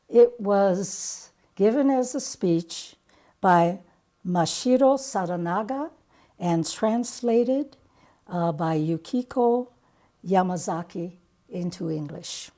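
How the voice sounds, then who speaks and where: A woman's voice: fairly low pitch, expressive, takes it slowly, mellow. A woman giving a spoken introduction as the performer of a dramatic reading.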